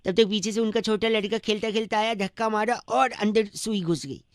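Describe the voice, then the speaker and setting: A man's voice: sits high, normal speed. A man playing a cheeky, pestering, argumentative prank caller in a comic radio phone call. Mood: chatty, mock-earnest.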